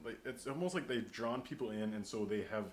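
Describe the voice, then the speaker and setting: A man's voice: medium pitch, animated, fairly quick. A man hosting a podcast, talking casually in a panel discussion. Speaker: podcast host. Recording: roomy echo with clear background noise.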